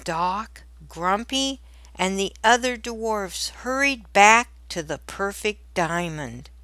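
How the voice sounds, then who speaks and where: Very slow-paced woman reading a storybook. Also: mid-range voice, expressive, fairly bright tone, clear, resonant tone, no echo. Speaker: storybook narrator. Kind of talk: scripted children's read-aloud narration.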